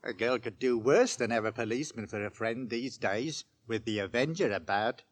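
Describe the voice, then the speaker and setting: An actor playing an inquisitive, folksy middle-aged London working man in a 1940s radio drama, talking with halting, stammering eagerness. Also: medium pitch, close to an everyday voice. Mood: wry. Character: excitable, inquisitive working-class London father, folksy and henpecked. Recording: vintage 1940s radio recording.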